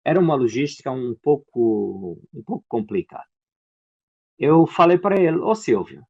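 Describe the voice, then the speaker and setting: A man from Angola with an Angolan Portuguese accent, an actor and playwright answering interview questions in a lively storytelling way. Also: medium pitch, moderate pace, vocal fry, slightly rough, dull audio. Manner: animated storyteller, acts out quoted dialogue.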